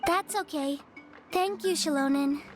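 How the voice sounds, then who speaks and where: A sweet, frail little girl in a video game. The voice is extremely high, gentle and polite. Music is clearly heard behind it. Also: measured pace.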